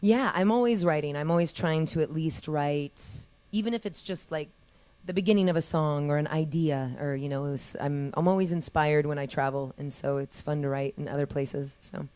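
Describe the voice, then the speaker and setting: A woman's voice: sits low, moderate pace, darkish tone. A woman musician chatting casually in a TV studio interview, easygoing and sprinkling in French words. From Texas, USA. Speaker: musician guest.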